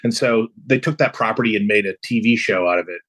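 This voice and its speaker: A man's voice: fairly low pitch, fast, fairly bright tone. An American man from Los Angeles talking casually as a guest in an interview. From Los Angeles, USA. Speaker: podcast guest, composer.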